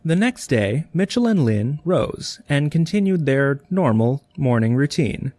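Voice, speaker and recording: A man's voice: a little high, sing-song, measured pace, a little mellow, no echo. A man reading scripted narration as the narrator of an audio drama.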